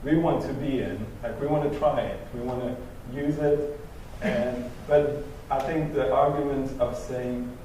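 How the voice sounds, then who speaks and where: A man's voice: medium pitch, steady tone, mellow, far from the mic. Belgian French-accented man on a panel. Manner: halting, many restarts and fillers. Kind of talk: panel discussion. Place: event venue. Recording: echoey hall from afar, noisy.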